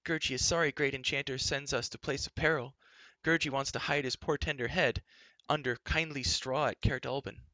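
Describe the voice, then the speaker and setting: A man's voice: medium pitch, steady tone, moderate pace, pushed and bright, no room sound, pitched above the performer's own voice. A man voicing a timid, whimpering furry creature that talks in whiny, broken phrases. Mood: fretful. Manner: whiny, snuffling broken speech.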